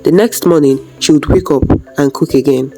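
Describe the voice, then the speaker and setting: Woman, deep soft-toned voice, scripted folktale narration, storyteller. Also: normal speed, soft background music.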